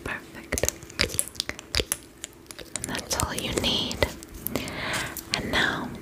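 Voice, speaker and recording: Slow whispering vampire spa beautician played by a woman. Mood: soothing, attentive. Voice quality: breathy whisper. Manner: gentle, reassuring, slow and unhurried. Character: soothing, attentive female vampire spa beautician. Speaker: ASMR creator playing a spa attendant. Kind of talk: ASMR roleplay, whispered personal attention.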